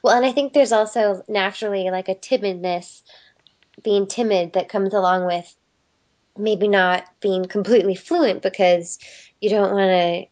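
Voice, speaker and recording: A woman talks as a guest in a relaxed interview. She thinks aloud and often breaks into a light laugh. Her pitch is in a middle range. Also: normal speed. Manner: thoughtful, laughs often, some restarts. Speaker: voice actress guest. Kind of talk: casual interview chat.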